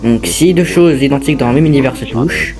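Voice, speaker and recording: A man's voice: medium pitch, lively intonation, quick pace. A man reading a scripted horror-style warning bulletin as a formal announcer. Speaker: narrator. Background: music.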